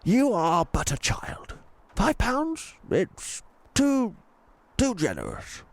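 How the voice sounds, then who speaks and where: A man's voice: high voice, huge pitch swings, slow pace, dry recording, voice pushed up, heavily acted. A man voicing a courteous, proud old tramp with a posh English accent in a storybook reading. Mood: grateful, kindly.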